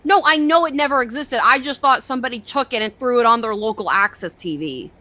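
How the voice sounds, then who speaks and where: A woman's voice: on the high side, brisk, bright, forceful tone. A woman co-hosting in a casual reaction chat, informal and inquisitive, with loose profane language. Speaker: co-host reacting to videos.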